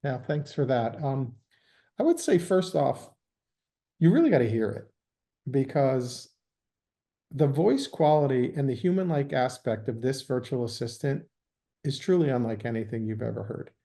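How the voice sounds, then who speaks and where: A man with a dark, mellow voice talks through business topics at an even, measured pace. He fills his explanations with ums and uhs as he goes. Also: mid-range voice, creaky. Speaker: guest, sales executive. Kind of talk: business interview.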